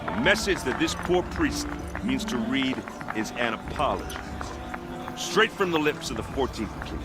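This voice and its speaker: An actor playing a blunt, earnest envoy, speaking plainly and emphatically to persuade a crowd in a fantasy game. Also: male voice, mid-range voice, lively intonation, moderate pace, lightly acted. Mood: earnest, pleading. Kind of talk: impassioned speech in a fantasy game. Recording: game music behind the voice.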